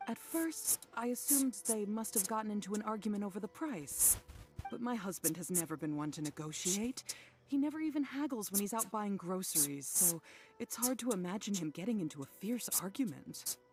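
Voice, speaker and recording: A woman's voice: medium pitch, lively intonation, moderate pace, music in the background, lightly acted. A woman voicing a worried middle-aged wife in a game, speaking wearily and apologetically. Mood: weary. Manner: weary, apologetic, soft-spoken. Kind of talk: game voice acting.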